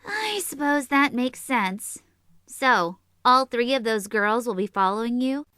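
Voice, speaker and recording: Sharp, very high, close and dry: small teenage sorceress with a short fuse, sarcastic anime comedy teasing played by a woman. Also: animated, measured pace, smooth, no room sound. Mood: dry, skeptical. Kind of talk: comedic anime fan audio drama acting.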